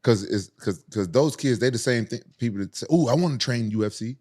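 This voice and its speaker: A man's voice: mid-range voice, a little fast, a little mellow. A man hosting a podcast in casual chat, teasing his guest with loose slang.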